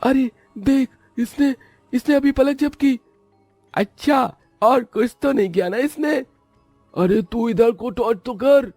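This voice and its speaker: A man's voice: very high-pitched, slow, darkish tone, no room sound, soft background music, pitched above the performer's own voice, heavily acted. A man voicing a frightened young intruder in a cartoon horror story, with a stammering, panicky manner. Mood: nervous. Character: frightened young male intruder in haunted house.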